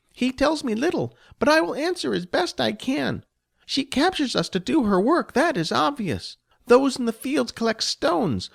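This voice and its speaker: A man's voice: very high voice, vocal fry, pitched above the performer's own voice, full character voice. A man voicing a timid, fearful little female goblin servant who pleads in formal, old-fashioned speech. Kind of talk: audiobook character voice.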